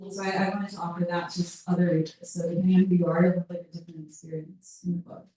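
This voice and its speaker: A woman answers questions at a book event in a thoughtful, searching way, often pausing to find the right word. Her voice is low and mellow. She sits far from the microphone in a very echoey room. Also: little pitch movement. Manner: reflective, searching for words with restarts. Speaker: author in conversation. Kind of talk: casual talk at a live book event. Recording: roomy hall picked up from far off.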